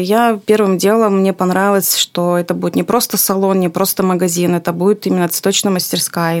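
Female, middle-aged, interviewed craft business owner, somewhat low pitch, narrative delivery. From Sakhalin, Russian Far East. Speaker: florist, workshop owner, podcast guest. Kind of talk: interview storytelling.

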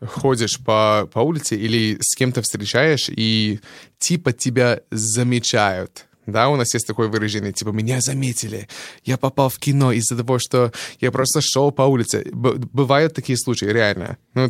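A man's voice: mid-range voice, dry recording, clean studio sound. Man speaking Russian. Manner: lively, searching for words with fillers. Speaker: guest actor. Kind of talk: casual chat.

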